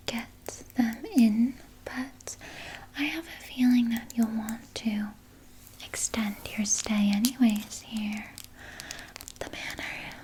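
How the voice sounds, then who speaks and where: A woman playing a sinister, soothing vampire nurse in an ASMR roleplay, speaking in a soft, breathy whisper with coaxing, falsely reassuring words. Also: a little high, slight background noise, low-quality recording. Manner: slow, coaxing, falsely reassuring, close personal address. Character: sinister, soothing female vampire nurse tending her captive patient.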